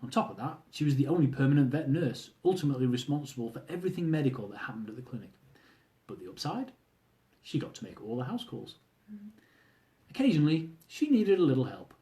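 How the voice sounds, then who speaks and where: Man reading his memoir aloud, mellow tone. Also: mid-range voice, big pitch swings, fast. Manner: wry, humorous storytelling, with asides to listeners. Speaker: author reading his own book. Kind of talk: audiobook-style reading of a travel memoir.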